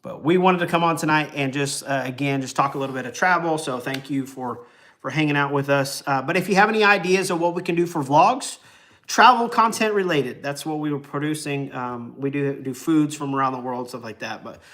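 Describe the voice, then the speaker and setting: A man's voice: on the high side, brisk, fairly bright tone. A man of forty, chatting casually and rambling with lots of ums while hosting a travel livestream. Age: 40s. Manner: rambling and easygoing, many ums and uhs, chuckles.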